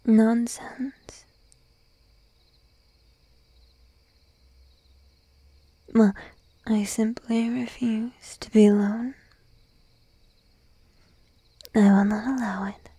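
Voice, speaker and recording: A woman playing a shy, needy young sheep-girl girlfriend in an ASMR roleplay, whispering softly and coaxingly up close to the microphone. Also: fairly high pitch, level tone, dry recording, heavily acted. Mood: pouty, needy. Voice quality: soft, breathy whisper. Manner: timid, coaxing, lingering pauses. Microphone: close microphone, dry.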